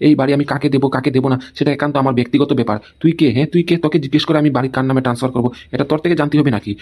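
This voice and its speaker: A man's voice: mid-range voice, fairly even intonation, rapid-fire, a little mellow, natural voice. A man voicing an indignant, stubborn husband in an amateur comedy cartoon, scolding and tacking questions onto his sentences. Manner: scolding, repeats tag questions. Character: indignant, stubborn husband guarding his hard-earned house.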